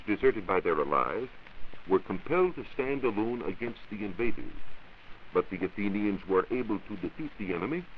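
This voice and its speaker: A low-voiced American man delivers a scripted science talk in a formal, oratorical way, with lively rises and falls. The sound is a muffled, hissy 1940s radio recording. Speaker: science reporter.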